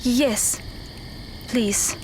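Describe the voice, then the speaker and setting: A woman's voice: a little high, drawn-out words, noisy background. A woman acting in an audio drama as a weary, guarded runaway teenage magical girl who answers tersely. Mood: weary, stubborn.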